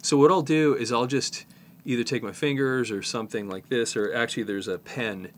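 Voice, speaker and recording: A man in his fifties with a slight head cold, presenting a casual hands-on repair tutorial in an informal, halting way as he works. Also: mid-range voice, steady tone, a little hiss or hum. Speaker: presenter, tape repair technician.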